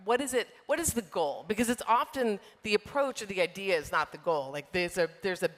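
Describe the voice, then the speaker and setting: A middle-aged woman answering interview questions on a conference stage, measured, with many fillers and restarts. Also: mid-range voice. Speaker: software trade group executive, guest. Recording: long reverb of a large hall.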